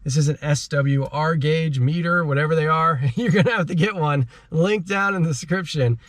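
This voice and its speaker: Chatty, higher-voiced man in a dry recording. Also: moderate pace, fairly bright tone, no room sound. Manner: informal, slangy, chatty. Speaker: host of a car DIY channel. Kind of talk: casual how-to vlog talk.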